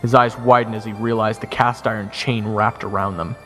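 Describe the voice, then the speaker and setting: A man's voice: fairly low pitch, level tone, fairly quick, fairly bright tone. A man giving first-person dramatic scripted narration as the hero of a fantasy audio roleplay. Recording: long reverb, music under the voice.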